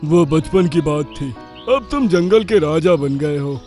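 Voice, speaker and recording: An actor playing a sly, arrogant wolf villain with a swaggering, mocking manner in a children's cartoon. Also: male voice, fairly high pitch, animated, unhurried, darkish tone, faint music behind. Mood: fake friendly. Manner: swaggering, mocking, chuckling slyly. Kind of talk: Hindi children's cartoon voice acting.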